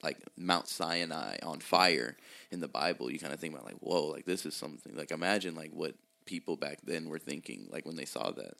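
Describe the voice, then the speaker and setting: Super deep gravelly voice, young guy from virginia, laid-back rambling podcast guest. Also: lively intonation, a little fast, bright, forceful tone. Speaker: podcast guest, music producer. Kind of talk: casual podcast chat.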